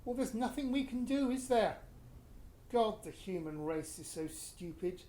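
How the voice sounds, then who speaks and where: A man's voice: high-pitched, expressive, a little slow, dark tone. Man playing an embittered British husband, echoey room. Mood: weary, cynical. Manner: scornful, exasperated, self-justifying. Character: embittered, vain, middle-aged actor husband and father. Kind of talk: radio play acting.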